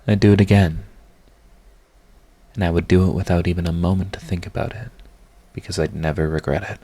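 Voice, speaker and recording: Deep-voiced lovestruck villain played by a man. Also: steady tone, brisk, warm tone. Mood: earnest, defiant. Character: flustered, lovestruck male villain, proud yet tender. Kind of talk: romantic ASMR audio roleplay.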